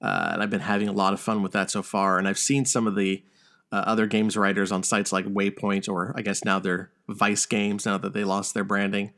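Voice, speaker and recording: A man's voice: on the low side, level tone, fairly quick, a bit bright. A man hosting a podcast, chatting casually in a wry, joking, mildly profane way. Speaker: podcast host. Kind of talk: casual chat about news and video games.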